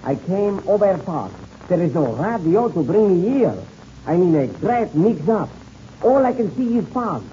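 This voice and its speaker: An excitable French aviator in a vintage radio serial. He is gracious and very animated, with a French accent and broken English peppered with French exclamations. The recording is dull and faintly noisy. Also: high-pitched, measured pace. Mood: dramatic, troubled. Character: excitable, gracious French male air-race pilot. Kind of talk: old-time radio serial acting.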